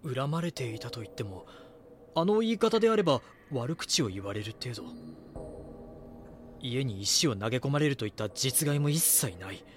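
A man's voice: medium pitch, big pitch swings, normal speed, faint music behind, lightly acted. A man acting an earnest magazine reporter in an audio drama, thinking through a case. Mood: brooding, puzzled. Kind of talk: Japanese audio drama acting, brooding monologue and dialogue.

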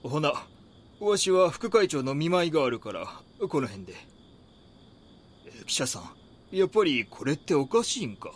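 An actor playing a gruff, wary older neighbourhood chief with a Kansai accent in an audio drama. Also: male voice, on the high side, sing-song, normal speed, pauses often, slight background noise.

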